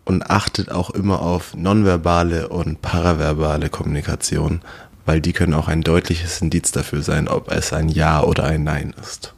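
A man's voice: rumbling low, little pitch movement, moderate pace, gravelly, slightly rough. A man co-hosting a casual podcast, earnestly explaining his points step by step. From half American, half German, born in Germany. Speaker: podcast co-host.

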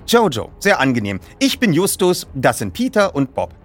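A man's voice: a little high, huge pitch swings, moderate pace, soft background music, pitched above the performer's own voice, full character voice. A man voicing a polite, self-assured teenage boy detective in a storybook, speaking in a formal, articulate and solicitous way.

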